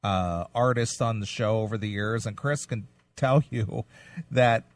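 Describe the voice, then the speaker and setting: A man's voice: fairly low pitch, a little slow, no echo, far from the mic. A man hosting a radio interview, asking blunt, direct questions. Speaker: radio show host.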